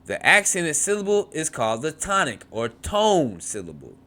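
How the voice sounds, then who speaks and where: Male teacher, lively pitch swings, reads a textbook then explains in his own words, repeats words to drill them. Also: normal speed. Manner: repeats words to model pronunciation, frequent restarts and asides. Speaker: Hebrew teacher. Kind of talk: reading a textbook aloud and explaining.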